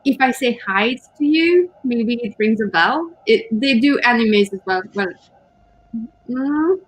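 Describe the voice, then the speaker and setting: A woman whose first language is not English, chatting casually as co-host of a writing stream, often pausing and hunting for words. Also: fairly high pitch, expressive, no room sound, rough recording. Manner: hesitant, searching for words, giggly. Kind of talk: casual chat on a writing livestream.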